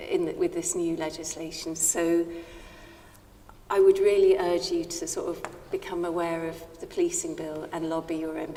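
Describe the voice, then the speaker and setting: Quiet-voiced woman campaigner in a church. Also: low-pitched. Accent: Northern English. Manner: soft-spoken, hesitant with many ums, earnest. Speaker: Friends of the Earth campaigner on a panel. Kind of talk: live panel talk in a church. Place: large parish church. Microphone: radio mic. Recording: long church reverb, faint noise.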